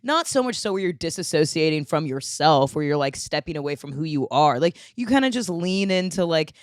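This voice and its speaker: Low-voiced woman telling crude stories. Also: brisk, no echo, studio quality. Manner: crude, animated storyteller. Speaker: podcast host. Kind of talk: casual comedic podcast chat.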